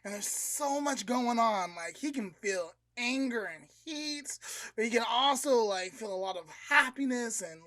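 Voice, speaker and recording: Woman, casual dramatic storyteller, podcast co-host, unhurried pace, dry close sound. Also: a little high, animated, a bit bright, no echo. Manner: conversational, dramatic, uses slangy quotes. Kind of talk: informal animated storytelling, acting out dialogue.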